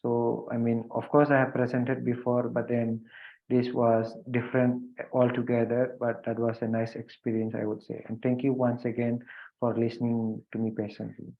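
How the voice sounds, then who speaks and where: A man from India speaks English with an Indian accent, pausing often with fillers and restarting his sentences. His voice sits at a middle pitch. The audio is thin and phone-like, as through a video call connection. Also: monotone. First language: Hindi or a regional language of India. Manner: hesitant, many fillers and restarts. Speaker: guest speaker. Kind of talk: video conference remarks.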